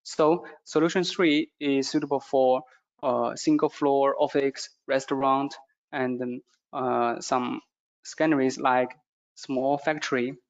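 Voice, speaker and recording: A man with a non-native English accent gives a product training presentation, speaking haltingly and repeating phrases as he goes. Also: mid-range voice, steady tone. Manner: halting, repetitive phrasing with stumbles. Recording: dull, muffled lo-fi audio.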